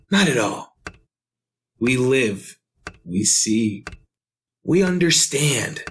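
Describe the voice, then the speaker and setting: An actor playing an unhinged, pleading madman who confesses his tale in a halting, feverish radio drama style. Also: mid-range voice, a bit bright, full character voice. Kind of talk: retro radio drama monologue.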